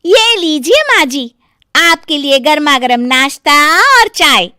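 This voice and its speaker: A woman playing a young village-born daughter-in-law in a moral story, sugary and haughty by turns, with theatrical, drawn-out delivery. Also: sits high, sing-song, pushed and bright, no room sound, clean studio sound, heavily acted. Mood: sweet, coy. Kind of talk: dramatised Hindi moral story, cartoon-like acting.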